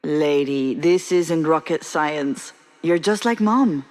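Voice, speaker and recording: A woman's voice: low voice, slow pace, a little mellow. An actress playing a frank, teasing, encouraging woman in a narrative video game, blunt and informal in a naturalistic style.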